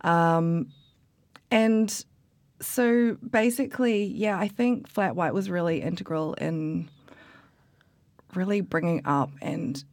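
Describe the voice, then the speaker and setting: A woman from New Zealand talks casually about her work, her low voice stumbling through fillers and restarts. The recording is dry and close, with no room around her. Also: takes it slowly, darkish tone, no echo. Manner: chatty, many fillers and restarts. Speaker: coffee expert guest. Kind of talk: casual interview chat.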